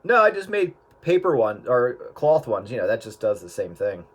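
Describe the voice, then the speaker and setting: A man chatting casually in a group with a joking, deadpan manner. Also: mid-range voice, moderate pace. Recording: very loud background noise, radio-like.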